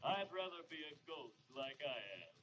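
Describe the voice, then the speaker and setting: An actor playing the gleeful, taunting ghost of a big-spending playboy in an old radio comedy, with a cackling, drawn-out delivery. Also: male voice, a little high, very animated, background noise. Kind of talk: 1940s radio comedy acting. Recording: dull old radio broadcast recording.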